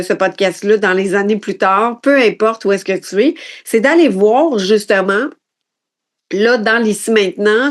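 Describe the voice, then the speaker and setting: A woman in her late forties speaks with a Quebec French accent in an ordinary mid-range pitch. She delivers a reflective podcast monologue as a personal development coach. Her manner is introspective and halting, with phrases begun again as she thinks. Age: late 40s.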